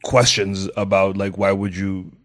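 A man's voice: deep voice, level tone, dry recording, studio quality. A Kenyan-born man with an American accent, a guest comedian talking loosely in a casual podcast chat, full of fillers. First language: Swahili. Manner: loose and chatty, full of "like" fillers, quick to laugh.

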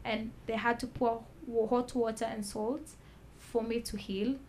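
A woman telling her own story as a talk-show guest, soft-spoken and measured, pausing with fillers. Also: fairly high pitch, little pitch movement, a little hiss or hum. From Kenya. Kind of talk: personal testimony in a talk show.

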